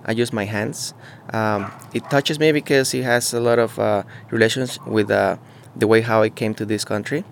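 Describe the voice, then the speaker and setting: A man from Mexico, talking casually outdoors in an on-site interview, halting and searching for words with many fillers. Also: lowish voice, fairly even intonation, gravelly, a little hiss or hum. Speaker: muralist interviewee. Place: outdoors beside a mural.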